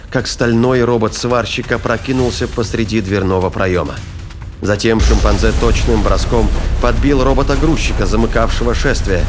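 Male narrator for sci-fi thriller audio play, lowish register, scripted storytelling over strong score. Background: dramatic music, battle sound effects.

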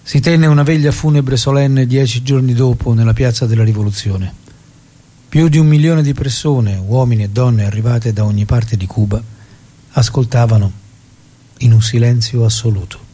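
Actor as husky, mellow, solemn storyteller. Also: male voice, medium pitch, normal speed, lightly acted. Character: solemn male narrator of a revolutionary's story. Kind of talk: dramatic scripted stage narration. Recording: music behind the voice.

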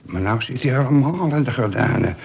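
Streetwise veteran convict, medium pitch. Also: male voice, lively intonation, fairly quick, darkish tone, slightly rough. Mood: pained, sardonic. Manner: coarse slang, reels off regulations by rote. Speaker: actor. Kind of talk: Dutch radio play acting. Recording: faintly noisy old radio play recording.

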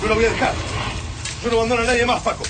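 High-pitched anguished Argentine man. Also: steady tone, rapid-fire, very noisy. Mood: desperate defiance. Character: anguished, stubborn male survivor in a war. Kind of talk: radio drama acting.

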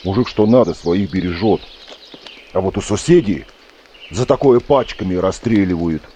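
An actor playing a middle-aged wartime soldier, fatherly, gruff and fatalistic, speaking in folksy plain words in an audio drama. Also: deep voice, moderate pace, warm tone, slight background noise, lightly acted. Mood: gruff, mocking. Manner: folksy, plain-spoken, steadying.

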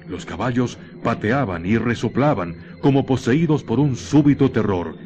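A man narrating a scripted radio horror drama in a deliberate, suspenseful manner with weighted pauses. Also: on the low side, highs cut off. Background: faint music.

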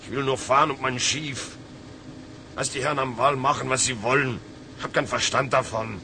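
An actor playing an old, weary German sea captain in a radio play, resigned, with a German accent and German words mixed in. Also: male voice, mid-range voice, fairly even intonation, bright, forceful tone. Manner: slow, mixes in German words. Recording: clear background noise.

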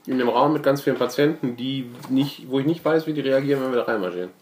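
A man's voice: mid-range voice, fast talker, darkish tone. A man talking casually as a player planning moves in a tabletop role-playing game. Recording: echoey room with faint noise.

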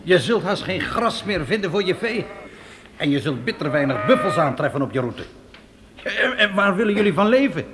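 Stern, animated frontier mountain man. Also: sits high, moderate pace. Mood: sceptical warning. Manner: stern, emphatic, admonishing. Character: seasoned, blunt frontier mountain man advising settlers. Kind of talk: Dutch radio play acting. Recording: noisy old radio play recording.

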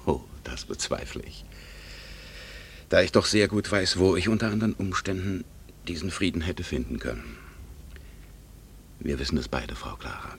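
An actor playing a wistful, ironic middle-aged gentleman and secret admirer in a 1960s German radio drama, with courtly, hesitant speech that can drop to a near-whisper. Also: low-pitched, moderate pace, pauses often, a little hiss or hum. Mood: wry, wistful.

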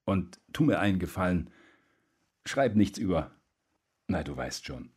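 An actor playing a middle-aged war veteran, wistful and self-mocking, speaking in a hushed, husky voice in radio drama dialogue. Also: male voice, deep voice, big pitch swings, measured pace, heavily acted. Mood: wistful, wry.

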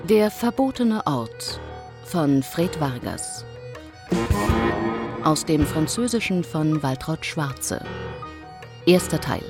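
A woman reading a radio drama's scripted announcement in a measured, formal manner. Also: low-pitched, very animated. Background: music.

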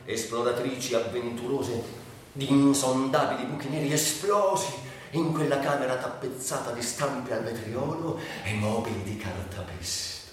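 A man's voice: mid-range voice, normal speed. Theatrical man in a very echoey space. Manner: theatrical, brooding delivery. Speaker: actor performing a monologue. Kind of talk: dramatic literary stage monologue. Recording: very echoey room, distant, faint noise.

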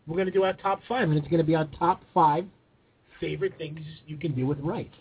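A man's voice: a little high, steady tone, fairly quick, husky. A man co-hosting a radio show with casual banter. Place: radio studio. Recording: narrow, phone-like radio audio.

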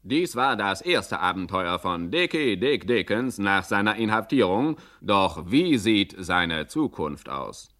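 A man's voice: fairly low pitch, big pitch swings, slow, few pauses, fairly bright tone, exaggerated voice. A man narrating a 1960 German radio crime satire in a formal, mock-sensational manner.

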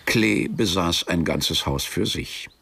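A man narrating a scripted literary radio drama as a wry storyteller, measured and deadpan. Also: sits low, gravelly, background noise, full character voice. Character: dry, wry storyteller of a travel tale.

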